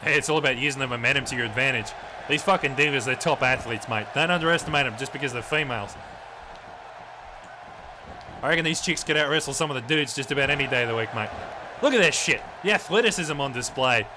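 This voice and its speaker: A man's voice: medium pitch, fast, background noise, close to an everyday voice. A man playing a crude, swearing Australian wrestling commentator who rambles in a blokey way over a video game match. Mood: crude banter.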